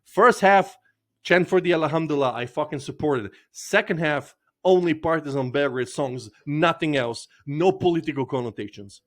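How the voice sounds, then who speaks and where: A man with a somewhat high voice rants in a long monologue, swearing freely and circling back to the same points. His delivery is insistent and blunt. He speaks as a fan addressing fellow supporters. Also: normal speed. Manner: profane, repetitive, insistent. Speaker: football fan vlogger. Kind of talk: ranting monologue.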